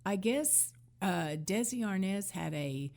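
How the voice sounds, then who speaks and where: A woman hosting a casual talk show, giving conversational commentary in measured, hesitant phrases. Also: mid-range voice, expressive, creaky, darkish tone.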